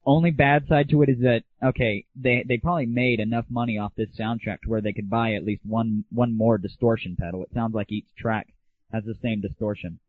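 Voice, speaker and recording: A man's voice: medium pitch, steady tone, a little fast, no room sound. A man hosting an internet audio show, giving casual, opinionated solo commentary with irreverent, crude humor. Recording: thin phone-like early internet audio.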